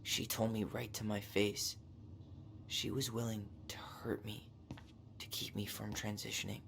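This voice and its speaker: A man acting in a video game as a guarded, wry young American man, with understated, dry delivery and a gravelly, hushed voice. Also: fairly low pitch, little pitch movement, unhurried, in a whisper. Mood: somber, hurt. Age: young adult. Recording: faint noise, streamed game audio.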